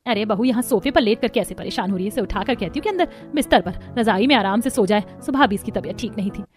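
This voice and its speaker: A woman's voice: mid-range voice, expressive, fast talker, soft background music, natural voice. A woman with an Indian accent voicing a scolding, easily flustered middle-aged mother-in-law in a family cartoon, dramatic and full of exclamations. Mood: worried. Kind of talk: animated moral-story voice acting.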